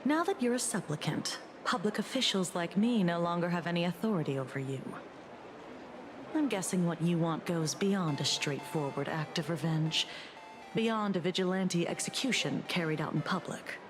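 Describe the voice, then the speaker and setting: An actress voicing a poised female public official in a video game, formal and measured, with a teasing, probing edge. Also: on the low side, music in the background, natural voice.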